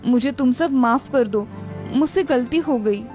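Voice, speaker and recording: A woman's voice: high voice, unhurried, warm tone, soft background music. A woman voicing a greedy old mother-in-law in a cartoon family story. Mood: remorseful.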